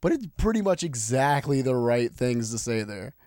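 A man's voice: medium pitch, big pitch swings, moderate pace, darkish tone, no echo, clean studio sound. A man co-hosting a podcast, chatting casually and arguing in a joking, rambling way while getting over being sick. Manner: joking, argumentative, rambling with fillers. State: recovering from illness, nosebleed. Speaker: podcast co-host. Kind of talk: casual chat. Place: home room, sitting on the floor.

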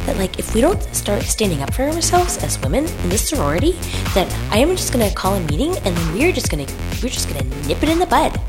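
A woman's voice: on the high side, sing-song, fast talker, hardly any pauses, music in the background, voice pushed up. A woman voicing a bossy, indignant young sorority sister, blunt and emphatic, in improvised radio comedy.

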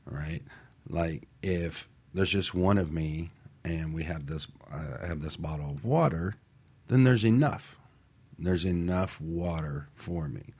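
A man's voice: low-pitched, animated, takes it slowly, creaky, darkish tone, breathy edge. A man in a casual radio discussion, explaining ideas step by step and often checking in with the listener.

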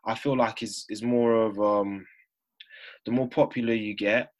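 A man's voice: lowish voice, steady tone, a little slow. A young man, talking casually as an interview guest and dotting his phrases with "yeah". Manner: informal, punctuates phrases with "yeah" and "you know". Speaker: interview guest, business owner.

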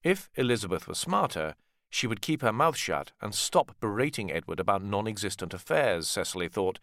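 A man's voice: lowish voice, sing-song, moderate pace, a bit bright, dry recording, clean studio sound. A man reading a novel as scripted literary narration, telling the story expressively. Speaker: audiobook narrator.

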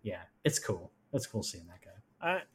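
A man's voice: lowish voice, big pitch swings, measured pace, slight background noise. A man co-hosting a gaming news show in a loose, friendly, informal conversational way. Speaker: gaming news podcast co-host. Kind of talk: casual chat.

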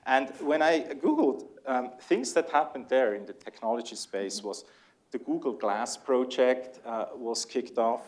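A man's voice: mid-range voice, animated, unhurried, a little mellow. Swiss guy moderating a panel in a lecture hall, polite, a bit jokey about himself. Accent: Swiss German.

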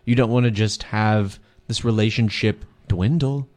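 A male radio host delivering comedic banter in a dry, deadpan way. His voice sits low. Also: a little slow.

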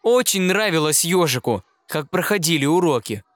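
A man reading a children's fairy tale aloud in a gentle, expressive storytelling manner. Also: a little high, normal speed, no room sound. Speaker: reader of children's fairy tales. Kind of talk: scripted storybook narration.

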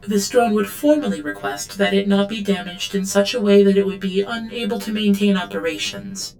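Woman playing an obedient creaky-voiced female android. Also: mid-range voice, steady tone, a little mellow, faint music behind, natural voice, poor audio. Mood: compliant, formal. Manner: formal, measured, deferential. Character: obedient female android servant, guarded and self-effacing. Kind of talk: scripted audio drama acting.

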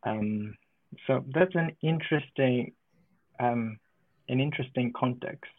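A man from Ukraine, a guest in an interview, speaking in a thoughtful, measured way with frequent pauses. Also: medium pitch, no room sound. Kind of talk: conversational interview. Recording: tinny phone-like line.

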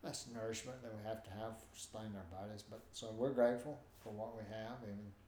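A man's voice: lowish voice, a little fast, a little hiss or hum. Older nasal-voiced man telling stories. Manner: animated, acts out quoted voices. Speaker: guest. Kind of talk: storytelling in a conversation. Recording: roomy with faint ambience.

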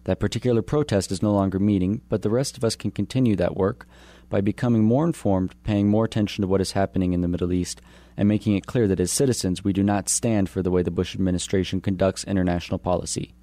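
Deep, quick young radio reporter. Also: male voice, fairly even intonation, dark tone, no echo.

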